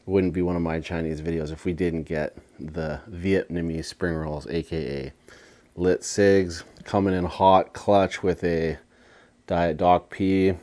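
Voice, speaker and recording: Deep, mellow-voiced man chatting casually. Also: steady tone, unhurried. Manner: laid-back, slangy, playful wordplay. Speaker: food and lifestyle vlogger. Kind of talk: casual eating vlog chat to viewers.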